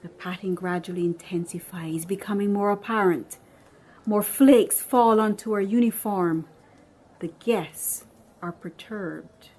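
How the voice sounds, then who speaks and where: A woman's voice: medium pitch, measured pace, a little mellow. A woman reading a screenplay aloud as narrator, delivering scene headings and action in scripted narration.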